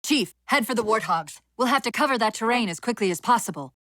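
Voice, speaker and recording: A woman playing a female military AI companion in a video game, giving crisp tactical commands with dry, sardonic wit. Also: a little high, expressive, fast talker, pushed and bright, dry recording. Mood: focused, brisk.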